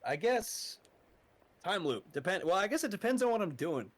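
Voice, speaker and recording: A man's voice: high voice, sing-song, normal speed. Young adult male guest, horror narrator, laid-back and profane, casual chat. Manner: laid-back, swears often, laughs a lot.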